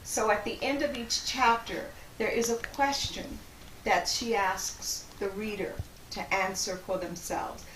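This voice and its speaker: A woman's voice: medium pitch, steady tone, unhurried, a bit bright. A woman hosting, giving informal spoken remarks and explanations to listeners. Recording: distant, roomy echo, background noise.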